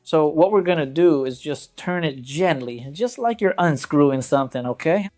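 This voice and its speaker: Higher-pitched man giving a casual product demo. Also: lively intonation, normal speed, a little mellow, faint music behind. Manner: conversational, addresses viewers directly. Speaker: product reviewer. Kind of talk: casual hands-on product demonstration.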